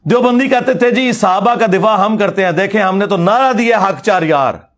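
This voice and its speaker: High-pitched Pakistani Punjabi man lecturing combatively. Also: moderate pace. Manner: combative, mocking, emphatic. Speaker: religious lecturer. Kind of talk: informal polemical religious lecture.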